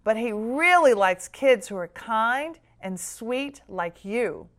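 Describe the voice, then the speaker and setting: A woman's voice: on the high side, animated, slow, fairly bright tone. A woman voicing a kindly old grandmother in a Christmas storybook, affectionate and comforting. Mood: reassuring.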